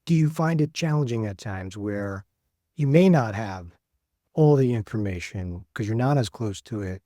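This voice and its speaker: A man hosts the interview, asking questions in a curious, conversational way. His voice is mellow and warm, and it sounds close and dry in the recording. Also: fairly low pitch, lively intonation, moderate pace, no echo. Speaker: podcast host.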